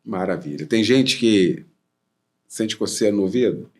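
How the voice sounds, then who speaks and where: A man's voice: on the low side, unhurried, darkish tone. Brazilian man hosting a chatty interview. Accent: Brazilian Portuguese. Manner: conversational, affirming, asks questions. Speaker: podcast host. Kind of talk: casual interview chat.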